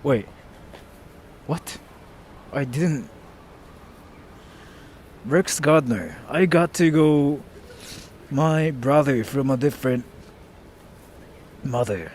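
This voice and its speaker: A man's voice: mid-range voice, extremely slow, pauses often, darkish tone, slight background noise. A young American man on a casual live stream, joking about himself and thanking viewers for donations. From United States. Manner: joking, self-mocking, reads chat aloud.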